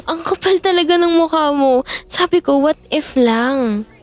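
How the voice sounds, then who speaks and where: A woman's voice: sits high, animated, unhurried, faint music behind. A woman playing a teasing, giggly best friend. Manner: teasing, giggly, flustered backtracking. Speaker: ASMR roleplay performer. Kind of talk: audio roleplay, chatting while gaming.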